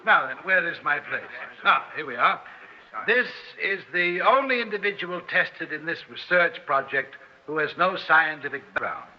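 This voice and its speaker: A man's voice: a little high, lively intonation, moderate pace, natural voice. An actor playing an arrogant male scientist who lectures precisely and pompously in an old-time radio drama. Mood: smug, lecturing. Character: arrogant male scientist coolly presenting his findings. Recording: dull, band-limited old radio recording.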